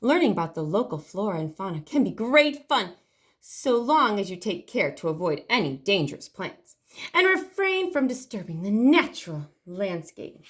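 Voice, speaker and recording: A woman's voice: medium pitch, big pitch swings, normal speed, a bit bright. A woman hosting a library storytime, reading a picture book aloud expressively with chatty asides to the children.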